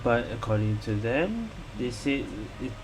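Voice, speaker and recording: A man's voice: mid-range voice, unhurried. A man giving casual gameplay commentary, musing and reacting aloud as a gaming streamer. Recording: steady hum of room noise.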